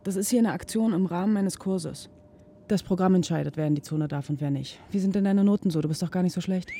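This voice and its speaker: Earnest young student Deirdre. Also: female voice, on the low side, quick pace, warm tone, slightly rough. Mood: earnest, reassuring. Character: earnest, idealistic young female boarding-school student Deirdre. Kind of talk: naturalistic radio play acting.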